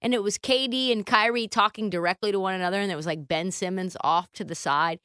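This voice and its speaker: A woman's voice: medium pitch, quick pace, bright, forceful tone, clean tone, no room sound, studio quality. A woman hosting a casual sports talk podcast in a conversational, punchy, playful way.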